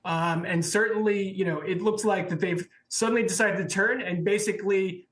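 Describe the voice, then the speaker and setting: A man working as a banking reporter, explaining developments off the cuff in a halting way on live news. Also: high voice, steady tone, a bit bright. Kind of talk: live unscripted news analysis. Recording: roomy, echoey sound.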